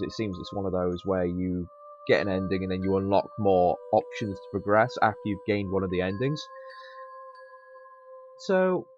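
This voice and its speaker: A man's voice: deep voice, highs cut off. A man hosting a game playthrough, commenting casually with wry, conversational asides. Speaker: host of a gaming channel.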